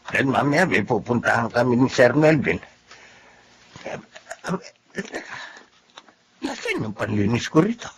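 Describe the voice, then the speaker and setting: A man's voice: mid-range voice, vocal fry, heavily acted. A man playing a fussy but kindly middle-aged family driver in a Filipino radio drama, exclamatory and fondly scolding, with a Filipino accent. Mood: busy, puzzled. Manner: exclamatory, scolding yet fond.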